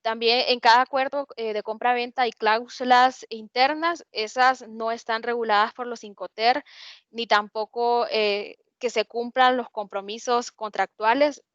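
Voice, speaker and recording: High-voiced Honduran woman lecturing, hesitant. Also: steady tone, a little slow, a bit bright, dry recording. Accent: Honduran Spanish. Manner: explanatory, many hesitations and restarts. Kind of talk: online lecture. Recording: dull dry lo-fi audio.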